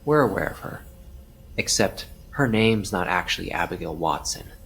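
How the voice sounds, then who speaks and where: A man acting as a calm, formal police detective who persistently questions a witness in a crime audio drama. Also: sits low, normal speed, vocal fry, breathy edge, heavily acted. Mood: grave, explanatory.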